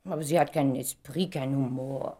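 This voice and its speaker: A woman's voice: very deep voice, fairly even intonation, fairly quick, dark tone. A woman playing a vain, wounded young stage star in a German radio play, speaking in a dramatic, self-important and indignant way.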